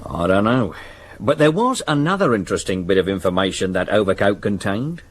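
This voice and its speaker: An actor playing a dry, deferential Victorian police sergeant with an English accent in a radio drama. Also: male voice, fairly low pitch, expressive, a little fast, husky. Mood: reporting. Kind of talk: 1970s radio drama acting. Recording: slightly noisy vintage radio recording.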